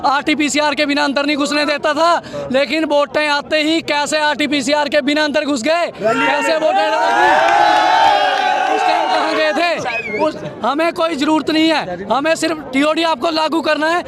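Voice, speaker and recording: A young man giving an impassioned, declamatory protest speech outdoors, shouting slogans. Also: very high-pitched, normal speed. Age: young adult. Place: outdoors on a street amid a crowd. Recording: crowd noise outdoors.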